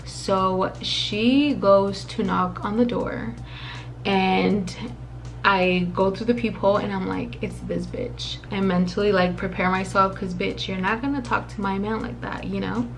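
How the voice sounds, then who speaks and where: A young woman in her twenties telling a story to her camera in a casual, rambling way. She is profane, leans on lots of fillers, drops in Spanish phrases, and her voice is a little raspy from a dry mouth. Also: medium pitch, fairly even intonation, measured pace, poor audio. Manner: chatty, profane, rambling with many fillers and asides; mixes in occasional Spanish phrases. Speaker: vlogger.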